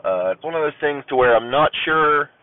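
A man from Ohio hosting his own podcast, rambling casually with many ums, stuffy and clearing his throat as if getting a cold. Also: on the high side, expressive, brisk, dry recording. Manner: rambling, many ums and uhs, self-deprecating. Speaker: podcast host. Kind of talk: casual solo podcast chat. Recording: tinny phone-like audio.

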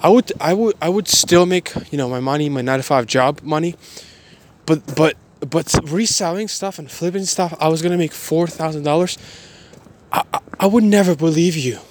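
A man of twenty-one giving a motivational personal monologue in a halting, repetitive, emphatic way. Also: a little high, slight background noise.

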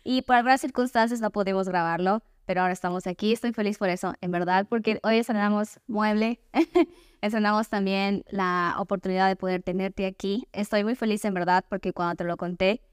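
A woman's voice: medium pitch, steady tone, brisk, clear, resonant tone, no room sound. Ecuadorian woman hosting a podcast, dry close sound. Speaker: podcast host.

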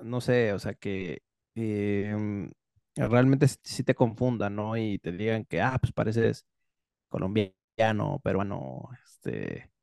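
A man's voice: fairly low pitch, takes it slowly, vocal fry, darkish tone, no room sound, clean studio sound. A Mexican-accented man hosting a casual podcast chat, speaking in a slangy, informal way and often interrupting himself. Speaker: podcast host.

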